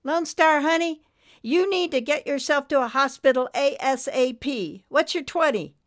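A woman voicing a motherly, folksy nurse talking briskly over a trucker radio in an audiobook story. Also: high voice, dry recording, studio quality, voice pushed up, lightly acted. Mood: brisk concern.

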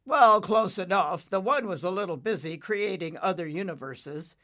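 A woman voicing a big, confident father storm cloud in a story, booming and emphatic, with a secretive streak. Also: expressive, moderate pace, fairly bright tone. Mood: firm, confiding.

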